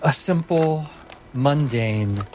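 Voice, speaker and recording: A man's voice: mid-range voice, animated, slow pace, darkish tone, slight background noise. A man narrating a fantasy tabletop game as its game master. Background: music under the voice.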